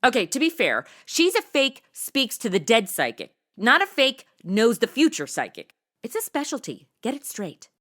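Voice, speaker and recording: A woman playing a sassy, slangy modern young woman in a comedy audio drama, flippant and excitable. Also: on the high side, normal speed. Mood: sassy, wry. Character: sassy modern young woman dropped into old radio mysteries.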